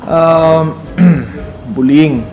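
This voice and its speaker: A young man giving a thoughtful, slow answer, searching for words as he explains. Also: fairly high pitch, darkish tone, soft background music. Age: young adult. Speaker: interviewee. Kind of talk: casual conversational answers.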